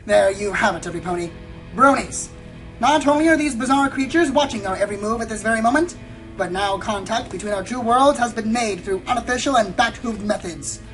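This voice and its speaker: A woman's voice: fairly high pitch, a little fast, lightly acted, rough recording. A woman playing a probing, smug female pony news reporter in a radio play, with a dramatic, insinuating delivery. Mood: alarmist. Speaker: interviewer. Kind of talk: radio play acting, theatrical interview. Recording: music behind the voice.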